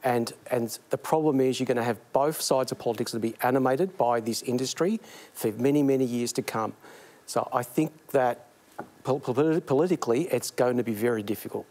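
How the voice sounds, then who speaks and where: A man's voice: medium pitch, brisk, a little mellow. A man giving an analytical political assessment on a panel. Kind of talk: panel debate.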